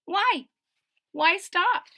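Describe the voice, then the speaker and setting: Woman reading a kids picture book, high bouncy voice, takes it slow, chats to the kids between pages. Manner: expressive storyteller, adds plain-spoken asides for children. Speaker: read-aloud narrator. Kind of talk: children's picture book read-aloud.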